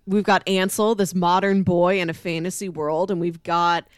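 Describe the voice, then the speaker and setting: A woman's voice: fairly low pitch, level tone, moderate pace, clear, resonant tone, dry recording, studio quality. A woman in casual podcast discussion, conversational, slipping into acted-out quotes as she explains. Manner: conversational, acts out quoted lines.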